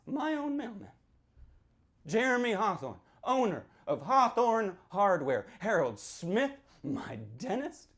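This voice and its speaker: A man giving a dramatic reading as a sinister small-town storyteller, swinging from hushed whispers to shouted outbursts. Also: mid-range voice. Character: sinister small-town local man recounting a grim tale. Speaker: author performing a reading from a novel. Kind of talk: theatrical first-person horror storytelling to an audience.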